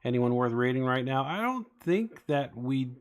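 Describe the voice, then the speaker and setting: A man's voice: medium pitch, big pitch swings, normal speed. A man in his forties chatting casually with live-stream viewers, rambling with many fillers as he talks. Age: 40s. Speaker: streamer building toy sets.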